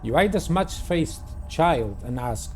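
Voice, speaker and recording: A man's voice: on the high side, expressive, measured pace. A man hosting a game playthrough, reading a visual novel aloud and adding casual commentary. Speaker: video game player and host. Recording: music playing behind the voice. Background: background music.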